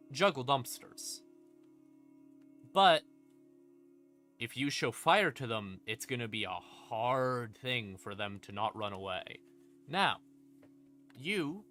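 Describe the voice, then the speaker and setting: Man, bright forceful voice, medium pitch, casual streamer explainer, emphatic dramatic stresses, informal and sweary. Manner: informal, sweary, conversational asides. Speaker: streamer, host. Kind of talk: casual livestream explainer of game lore. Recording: music playing behind the voice. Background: creepy ambient music.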